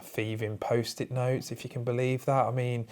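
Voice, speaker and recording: A British man making a prank call, oversharing in a rambling, stammering and deadpan way. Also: mid-range voice, normal speed. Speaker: prank caller.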